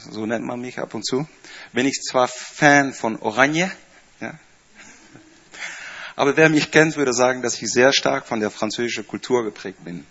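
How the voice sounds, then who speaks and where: A man in his fifties speaks German with a Dutch and French accent, addressing a congregation in a friendly, rambling way. He pauses often with fillers and small slips. The recording sounds a little dull. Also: mid-range voice, a bit bright. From Netherlands. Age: 50s. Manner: chatty, hesitant with many fillers, small grammar slips. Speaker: church staff member giving a greeting. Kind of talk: informal speech to a congregation.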